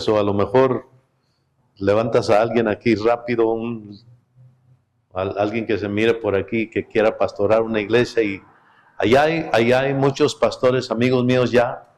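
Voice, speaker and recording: A middle-aged man, a guest pastor whose first language is Spanish, speaking in a relaxed, chatty way as he opens a sermon in a church. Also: on the low side. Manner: relaxed, chatty and joking with the congregation. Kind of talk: sermon opening. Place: church sanctuary.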